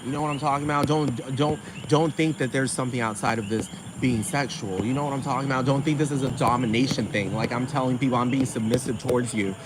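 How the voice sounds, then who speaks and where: A man's voice: mid-range voice, fairly even intonation, quick pace, few pauses. Man ranting profanely over crickets. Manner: repetitive, keeps asking "you know what I'm talking about". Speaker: vlogger. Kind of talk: rambling profane rant. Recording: noisy with crickets behind.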